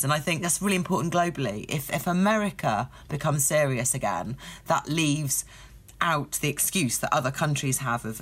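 A woman's voice: rumbling low, slightly rough. A woman guest on a podcast, answering in a thoughtful, fluent way. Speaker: podcast guest, party politician. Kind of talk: interview answers.

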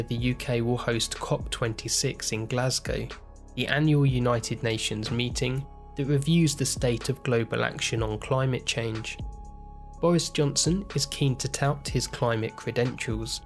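A man hosting a podcast, reading a scripted introduction in a measured, earnest way. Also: mid-range voice. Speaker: podcast host.

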